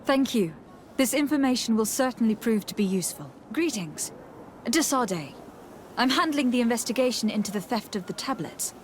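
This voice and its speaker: A courteous young noblewoman diplomat from a fantasy video game, played by a woman. Her voice is fairly high, measured and inquisitive, with clear ambience behind it. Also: animated, slight background noise, lightly acted. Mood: polite. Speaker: investigator.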